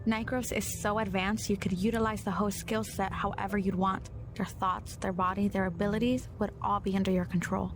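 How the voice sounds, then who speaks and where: An actress playing a composed, precise intelligence operative with a formal, factual manner in an audio drama. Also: female voice, mid-range voice, level tone, brisk, few pauses, over music, close to an everyday voice. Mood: composed, businesslike. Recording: clearly noisy ambience behind.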